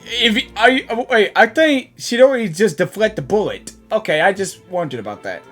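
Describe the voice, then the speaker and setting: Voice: medium pitch, expressive, moderate pace, fairly bright tone, soft background music. A game reaction creator giving casual, exclamatory, slangy commentary and talking back to the characters. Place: game audio playing behind the voice.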